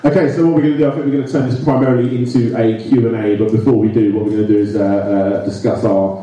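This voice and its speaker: A man moderating a live panel, relaxed, with hesitant fillers and frequent laughs. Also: lowish voice, fast talker. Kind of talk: casual live panel hosting. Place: live expo stage, large hall. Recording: echoey hall, distant mic.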